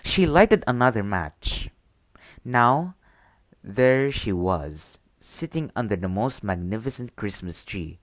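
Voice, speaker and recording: A man reading a bedtime story as ASMR, with a gentle, deliberate storybook delivery. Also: lowish voice, big pitch swings, no echo.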